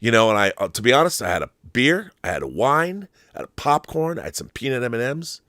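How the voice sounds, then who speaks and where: A man's voice: medium pitch, big pitch swings, fast talker, sharp tone, dry recording, studio quality. A man hosting a film commentary show, talking in a casual, opinionated monologue with an effusive, emphatic, rambling manner and some swearing.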